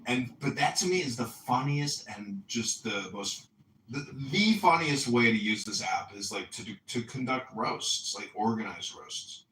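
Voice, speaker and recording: A man's voice: medium pitch, lively intonation, measured pace, fairly bright tone. A man hosting a casual live audio chat, joking and rambling with trailing sentences. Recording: echoey room from far off with faint noise.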